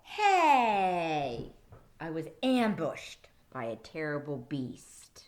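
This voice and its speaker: A woman's voice: sits low, wildly swooping pitch, extremely slow, exaggerated voice. A woman voicing a small furry male beast in a picture book, aggrieved, emphatic and theatrical. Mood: indignant.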